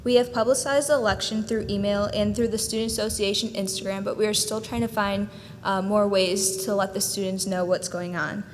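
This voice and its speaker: A young woman in her late teens, the student treasurer, giving a brisk, matter-of-fact report with some "um" fillers. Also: steady tone. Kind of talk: brief formal report. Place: school board meeting room. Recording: reverberant room with faint rumble.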